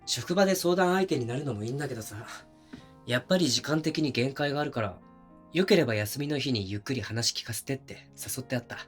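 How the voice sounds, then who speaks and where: A man performs a young boyfriend in an intimate situation-voice drama. His mid-pitched voice is conversational and soft-spoken, with natural pauses. He sounds like someone considerate to others yet oblivious to his partner. Faint music plays behind him. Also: close to an everyday voice. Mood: casual, oblivious. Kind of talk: intimate situation-voice audio drama, naturalistic one-sided dialogue.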